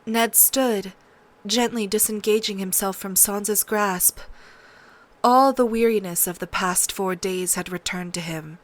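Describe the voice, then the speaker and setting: A woman's voice: mid-range voice, unhurried. A woman reading scripted dramatic narration as an audiobook narrator.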